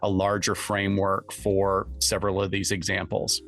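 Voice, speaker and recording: A man's voice: low-pitched, completely flat delivery, normal speed, a bit bright, faint music behind. A man co-hosting a podcast in a conversational, wry style. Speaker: podcast co-host. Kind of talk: casual podcast discussion of true crime.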